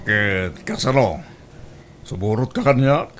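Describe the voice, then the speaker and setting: A man's voice: on the low side, lively intonation, takes it slowly, background noise. A man acting an older male suitor in an audio drama, with a Filipino accent. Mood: courting. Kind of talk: Ilocano radio drama acting.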